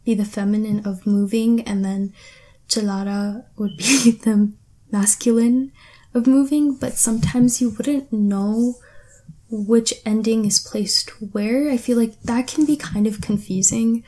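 A woman's voice: a little high, warm tone. A tired young woman from Pakistan, whose first language is Urdu, casually answering a questionnaire with rambling talk and many ums. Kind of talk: casual chat.

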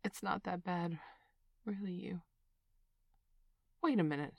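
A woman plays a middle-aged parent with a fairly low voice who speaks slowly and deliberately. The parent swings between scolding and soothing, and the recording is close and dry. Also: gravelly, a little mellow, dry recording, heavily acted. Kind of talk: scripted audio roleplay.

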